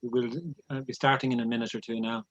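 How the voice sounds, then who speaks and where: A man's voice: medium pitch, level tone, quick pace, slight background noise. A man on an online meeting, giving brief practical updates about the meeting. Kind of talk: casual chat. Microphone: video call. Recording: dry, muffled video call audio.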